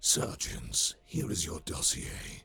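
A cold, calculating adjutant in a sci-fi war drama. His voice is extremely deep and creaky, his delivery formal, detached and exact. Music swells behind him. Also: sing-song, takes it slowly, sharp tone, full character voice. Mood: clinical briefing. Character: coldly analytical military adjutant, clinical and emotionless man.

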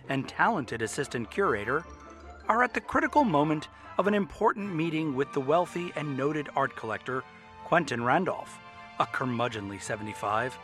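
A man playing the theatrical, deliberate storyteller of a mysterious dream tale in a radio drama. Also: fairly high pitch, fairly bright tone, echoey room. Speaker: narrator. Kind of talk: scripted radio drama narration.

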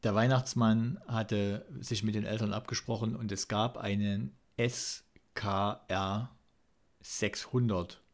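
Gravelly man in his forties, chatting casually. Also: on the low side, fairly even intonation, takes it slowly. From East Germany. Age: 40s. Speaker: podcast co-host. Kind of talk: casual chat, anecdotes.

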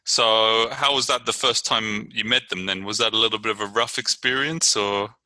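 A man from England, hosting a podcast in a relaxed, chatty way with frequent fillers. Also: lowish voice, normal speed, no echo. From Brighton, England. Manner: relaxed, filler-heavy questions. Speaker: podcast host.

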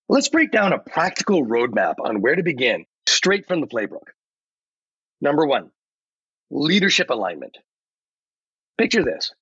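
Animated, husky man as an upbeat AI advisor. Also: fairly high pitch, no room sound, heavily acted. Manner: animated, theatrical, emphatic. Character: upbeat AI chatbot playing a folksy innovation advisor. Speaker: podcast guest voicing an AI. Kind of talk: scripted explanatory narration. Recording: dull, lo-fi but dry.

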